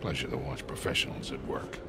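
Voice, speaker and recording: An American man acting a gruff, seasoned older treasure hunter in a video game cutscene. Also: a bass voice, a little fast, heavy background noise. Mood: dry. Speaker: veteran partner character. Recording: loud background music.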